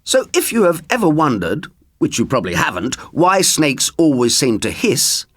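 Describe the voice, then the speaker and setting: A man reading a children's story in a theatrical, expressive way. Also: a little high, normal speed, no room sound, clean studio sound. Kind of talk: scripted children's story narration.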